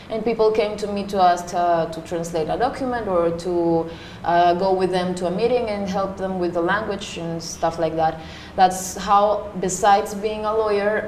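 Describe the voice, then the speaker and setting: A young woman from Panama answering interview questions casually, pausing often with fillers. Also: mid-range voice, darkish tone. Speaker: interview guest. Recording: echoey room with faint noise.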